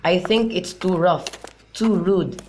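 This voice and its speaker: A man's voice: sits high, slow pace, darkish tone. A young man in an amateur radio drama, playing a melancholy, lovesick youth in an earnest, mournful manner. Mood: glum. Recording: clearly noisy amateur recording with clicks.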